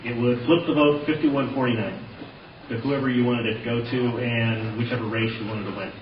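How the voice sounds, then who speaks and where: A man's voice: medium pitch, steady tone, a little fast, recorded from a distance. An American man giving testimony as a witness, answering in a plain, matter-of-fact way. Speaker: witness, computer programmer. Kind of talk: sworn testimony in answer to questions. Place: large indoor hearing room. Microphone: hearing microphone, at some distance. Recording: roomy, off-mic, dull, faintly noisy hearing audio.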